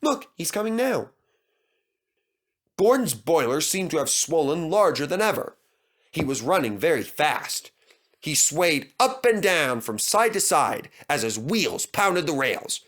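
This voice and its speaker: Animated man narrating a children's story. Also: on the high side, measured pace.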